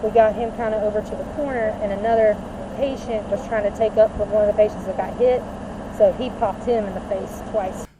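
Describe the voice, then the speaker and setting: A woman's voice: mid-range voice, fairly even intonation, brisk. A woman giving an informal, colloquial spoken account, caught on muffled and noisy body camera audio. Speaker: behavioral health facility staffer. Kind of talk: casual recounting to police.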